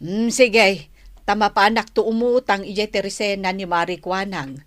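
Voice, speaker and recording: A woman's voice: medium pitch, normal speed, fairly bright tone, dry recording, natural voice. A woman playing a fretful, concerned village woman in a radio drama. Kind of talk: Ilocano radio drama acting. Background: music.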